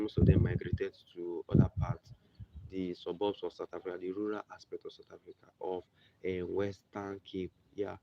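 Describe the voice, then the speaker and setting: Gravelly low Nigerian man, muffled. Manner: rambling, repeats and restarts, conversational asides. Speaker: video presenter. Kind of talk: casual explainer monologue. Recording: muffled lo-fi recording with background noise.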